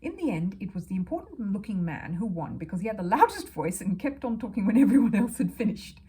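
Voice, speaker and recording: A woman reading a children's story aloud as an expressive narrator. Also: medium pitch, fast, slightly rough.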